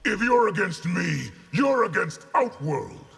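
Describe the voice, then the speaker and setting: An imperious rebel general from a fighting game. The voice is gravelly and slightly high for a man, swinging widely with menace. Loud noise runs behind it. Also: big pitch swings, slow pace, exaggerated voice. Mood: indignant, forceful. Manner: imperious, forceful. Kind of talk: video game fight intro dialogue.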